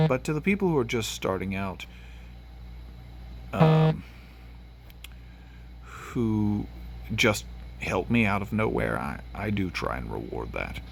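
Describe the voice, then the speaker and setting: Sniffly Tennessee man with gravelly voice. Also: low voice, slight background noise. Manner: rambling, with frequent fillers and restarts. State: sniffly from allergies. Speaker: gaming channel host. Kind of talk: casual gameplay commentary.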